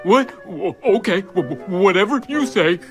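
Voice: mid-range voice, expressive, normal speed, close to an everyday voice. An actor voicing a nervous, dim hyena underling in a cartoon dub, stuttering and hesitant. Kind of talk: animated cartoon dub acting. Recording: music under the voice.

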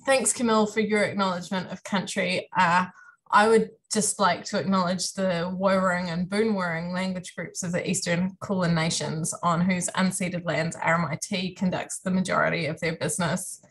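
A woman's voice: on the low side, level tone, normal speed, fairly bright tone. A woman in her thirties with a New Zealand accent gives a friendly, self-deprecating career talk to students over a video call from a room at home. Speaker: university lecturer giving a career talk. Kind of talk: online presentation to students. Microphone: webcam on a video call.

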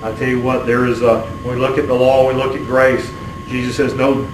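Middle-aged man, preacher, earnest halting delivery, even pitch, clear background hum. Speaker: Baptist preacher. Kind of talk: sermon and closing prayer. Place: small church sanctuary, at the pulpit. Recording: roomy, distant mic, steady room hum.

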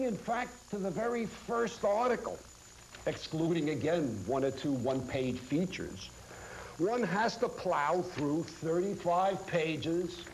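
A man in his sixties giving critical commentary to camera in a deliberate, emphatic way, phrase by phrase. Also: on the high side. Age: 60s. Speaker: media critic presenter. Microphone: lapel mic. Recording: noisy old 1980s videotape.

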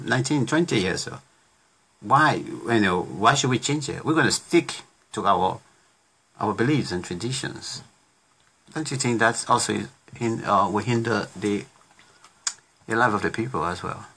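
A middle-aged man hosting a casual interview show from a small home studio, talking in halting runs with many restarts. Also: lowish voice, animated, bright, forceful tone, husky. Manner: halting, many restarts, plays devil's advocate. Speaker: talk show host. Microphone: studio condenser mic on desk.

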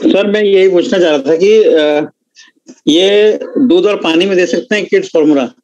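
Guy asking something kinda hesitant, high pitched, dry close audio. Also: moderate pace, darkish tone, no echo, highs cut off. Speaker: participant asking a question. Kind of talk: hesitant casual question.

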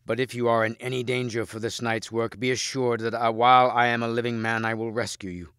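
A man's voice: mid-range voice, little pitch movement, fairly quick, fairly bright tone, smooth, no echo, studio quality, lightly acted. A man voicing a bold, defiant heroic knight with a commanding, declamatory delivery for a story. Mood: resolute.

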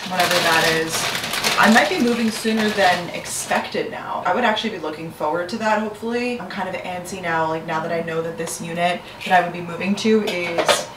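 A young woman in her twenties rambles cheerfully to her camera, peppering every thought with "like". She stands back from a camera on the kitchen counter, so her voice carries a roomy apartment echo over a steady background hum. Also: medium pitch, far from the mic. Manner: chatty, lots of "like" fillers, upbeat. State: slightly hoarse. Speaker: lifestyle vlogger. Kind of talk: casual vlog chat to camera. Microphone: camera on counter, some distance.

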